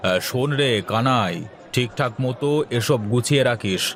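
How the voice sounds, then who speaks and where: A man acts out an older merchant who runs his trade with an easygoing, kindly authority. He speaks as a protective elder in a cartoon story, with a middling male pitch. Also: moderate pace, fairly bright tone, close to an everyday voice. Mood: busy, instructing. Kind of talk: Bengali cartoon dialogue.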